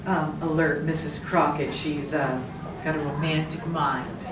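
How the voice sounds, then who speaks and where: A woman's voice: deep voice, steady tone, unhurried. A woman playing a polite, jittery mother in a radio drama, speaking in a flustered, hesitant way. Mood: polite, uneasy. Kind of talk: live radio drama acting. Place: library community room, live performance. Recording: echoey room from far off, noisy.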